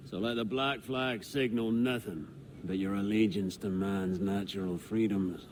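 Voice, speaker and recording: An actor playing a proud, blustering veteran pirate leader in a video game, with a gruff, declamatory delivery. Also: male voice, lowish voice, fairly even intonation, measured pace, a little hiss or hum. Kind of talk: video game cutscene acting.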